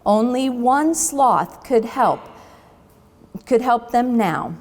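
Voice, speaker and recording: A woman storyteller reading a children's book aloud expressively, with dramatic pauses between phrases. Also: fairly high pitch, lively intonation, darkish tone, in a large hall.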